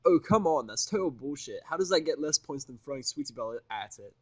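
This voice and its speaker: A man's voice: on the high side, animated, brisk, breathy edge, no room sound, voice pushed up. Sarcastic, defiant, foul-mouthed guy, voiced by a man reader. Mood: indignant.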